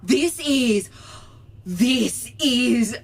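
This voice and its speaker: An actress playing an eager, geeky starship science specialist, gushing and halting, in a sci-fi comedy audio drama. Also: female voice, a little high, lively intonation, very noisy, exaggerated voice. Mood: awestruck, excited.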